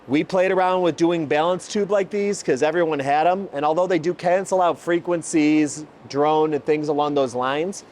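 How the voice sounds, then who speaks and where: A man's voice: a little high, fairly even intonation, normal speed, hardly any pauses, a little mellow, a little hiss or hum. A man hosting an automotive video, presenting and explaining in an emphatic, enthusiastic way. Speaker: host, exhaust shop builder. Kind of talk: presenting car exhaust comparison, part scripted, part explanatory.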